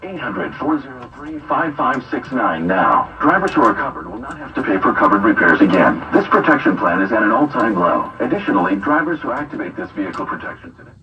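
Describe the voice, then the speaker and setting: A man reading a scripted sales pitch as an advertisement announcer, heard through a radio speaker. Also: big pitch swings, brisk, few pauses, muffled recording. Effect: radio speaker playback. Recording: distant, noisy playback through a radio speaker.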